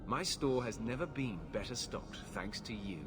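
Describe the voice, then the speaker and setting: A man's voice: medium pitch, normal speed, music in the background, natural voice. An actor playing a polite, welcoming and obliging craftsman shopkeeper in a fantasy game. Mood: welcoming, grateful. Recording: long reverb, clear background noise.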